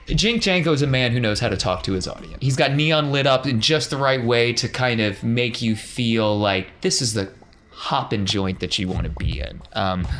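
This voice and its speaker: A man's voice: mid-range voice, fairly bright tone, a little hiss or hum. A man working as game master, narrating a tabletop roleplaying game in a conversational, descriptive way. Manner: conversational, descriptive scene-setting.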